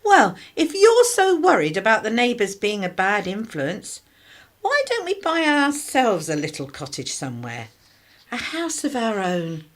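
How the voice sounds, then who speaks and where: A woman acting in a radio drama as a put-upon, sarcastic working-class wife and mother, wry and expressive. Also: fairly high pitch, a little slow. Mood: placating, wry.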